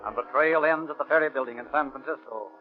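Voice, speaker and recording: An American actor playing an urgent narrator of a 1930s police radio drama, with a clipped bulletin delivery. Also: male voice, mid-range voice, fast, close to an everyday voice. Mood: urgent, dramatic. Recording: tinny 1930s radio recording with music.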